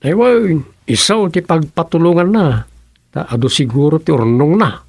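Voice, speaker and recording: A man's voice: on the high side, lively intonation, moderate pace, a little mellow, no room sound, studio quality. An actor playing a folksy village councilman in an Ilocano radio comedy drama, with an Ilocano accent. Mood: conversational. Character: village councilman, folksy adult man chatting with townsfolk.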